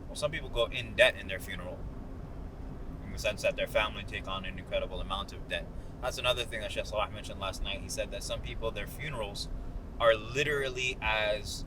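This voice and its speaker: A man's voice: lowish voice, brisk. A man giving casual, slangy explanations of religious matters as a vlog host, talking inside a car. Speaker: host of a ride-along video. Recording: clear car and outdoor noise. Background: car and road noise.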